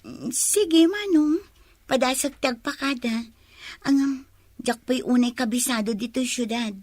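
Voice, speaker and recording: A woman's voice: sits high, unhurried, darkish tone, no echo. An actress playing a shy, friendly and easily charmed young woman in a radio drama, polite and chatty. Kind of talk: radio drama acting in Ilocano.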